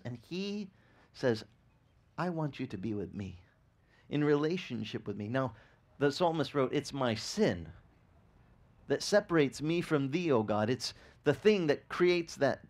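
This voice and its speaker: Lively middle-aged pastor preaching on a beach. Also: male voice, mid-range voice. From grew up speaking Italian. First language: Italian. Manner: animated, gesturing, asks the crowd questions. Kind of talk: outdoor sermon. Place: sandy beach outdoors.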